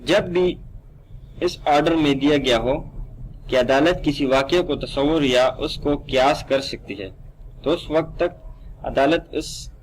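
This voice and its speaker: A man with a Pakistani accent teaching a law lesson, reading text aloud and explaining it in a deliberate, didactic way. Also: fairly high pitch, slight background noise, poor audio.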